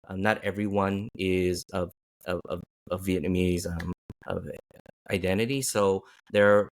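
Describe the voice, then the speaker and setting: A man's voice: sits low, fairly even intonation, a little slow. A man hosting a casual interview, rambling with many restarts and fillers. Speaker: podcast host.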